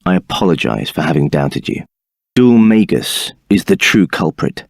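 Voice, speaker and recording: A cold bossy templar captain in a game, sneery and clipped, voice swings up and down a lot, dry sound. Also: male voice, low voice, big pitch swings, moderate pace, vocal fry, no room sound, heavily acted. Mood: stiff, formal. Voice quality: haughty, clipped, sneering delivery. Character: arrogant, contemptuous male templar captain, cold and domineering. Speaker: game character voice. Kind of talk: video game voice acting.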